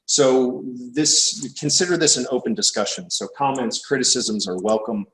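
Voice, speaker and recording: A man in his thirties with an American Midwestern accent, giving a relaxed, informal academic seminar talk. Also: medium pitch, moderate pace. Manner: relaxed, informal, thinking aloud. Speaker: guest lecturer. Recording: echoey room, distant mic.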